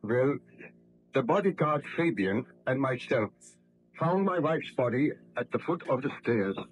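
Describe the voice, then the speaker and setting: An actor playing a tormented, remorseful baron scientist in a 1930s radio drama, theatrical and fervent, on a noisy old recording. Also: male voice, mid-range voice, animated, breathy edge. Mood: grieving, vengeful. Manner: theatrical, fervent, drawn-out phrasing.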